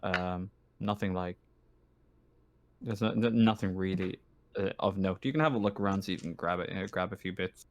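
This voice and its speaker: A man narrating an improvised tabletop roleplaying game as game master, describing things in a casual, halting way. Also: low-pitched, slight background noise.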